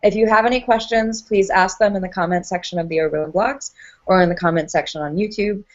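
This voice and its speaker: A woman opens an online panel in an upbeat, self-deprecating tone, her voice sitting at a middle pitch. The sound is a little dull and muffled, as on a webcam call. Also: fast. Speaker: panel moderator. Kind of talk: friendly panel introduction. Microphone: video call.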